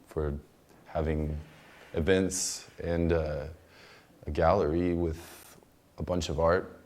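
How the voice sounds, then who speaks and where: An American man casually showing people around as a workshop member, speaking in a halting, hesitant way. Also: very deep voice, dark tone. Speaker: community workshop member giving a tour. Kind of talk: casual informal explanation.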